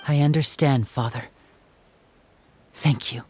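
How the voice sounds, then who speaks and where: A man's voice: medium pitch, steady tone, slow, a little mellow, a little hiss or hum, natural voice. A man playing a humble, devoted young man in a video game, speaking earnestly and deferentially. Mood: resolute, respectful. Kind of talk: dramatic video game voice acting. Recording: clear music behind the voice.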